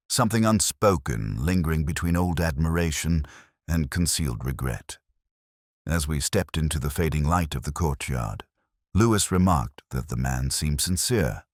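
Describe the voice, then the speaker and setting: Super deep guy reading a moody detective story, measured and brooding, voice moves around a fair bit, dry close sound. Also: no room sound. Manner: measured, brooding, literary delivery. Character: melancholy, reflective male police detective telling his own case. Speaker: narrator. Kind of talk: first-person scripted detective story narration.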